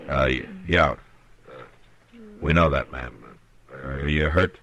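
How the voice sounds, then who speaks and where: An actor playing a grave, steady Old West lawman in an old radio western, speaking in measured, terse phrases with hesitant pauses. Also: full character voice. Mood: concerned. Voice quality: very deep voice. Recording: old radio drama recording.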